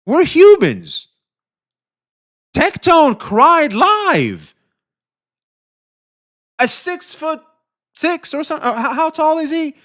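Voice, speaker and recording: A male streamer giving animated, profane commentary, speaking straight to his chat. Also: extremely high pitch, takes it slowly, long pauses.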